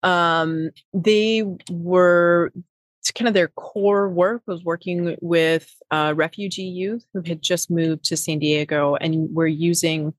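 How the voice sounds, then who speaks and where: Low-voiced Wisconsin woman, rambling. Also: steady tone, slow, no room sound. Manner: rambling, many fillers and restarts. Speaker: podcast guest, nonprofit executive. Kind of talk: casual interview talk.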